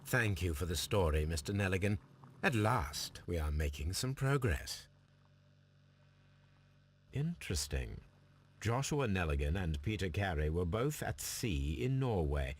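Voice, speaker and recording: A man playing a composed, analytical middle-aged Victorian detective in a video game, speaking formally and deliberately with a British accent. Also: low voice, sing-song, breathy edge, heavily acted. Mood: focused inquiry. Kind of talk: video game dialogue and musing aloud.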